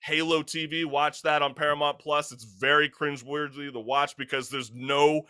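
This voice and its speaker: A young man hosting a casual talk show, chatting in an animated, slangy, joking way. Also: fairly high pitch, normal speed, smooth.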